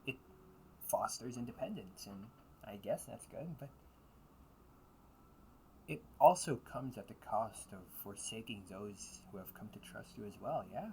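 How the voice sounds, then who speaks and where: A man playing a teasing but protective older brother in an intimate audio roleplay, talking casually and earnestly with halting pauses. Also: on the low side, darkish tone, slight background noise, low-quality recording. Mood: serious, gentle. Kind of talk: intimate audio roleplay monologue addressed to listener.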